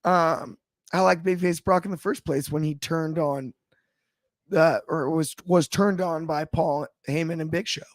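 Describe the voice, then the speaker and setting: A man with a slightly raspy voice, chatting about wrestling on a panel show in a thoughtful, halting way with many restarts. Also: on the high side, steady tone, no echo, studio quality. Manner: thoughtful, frequent restarts and fillers. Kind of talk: casual discussion.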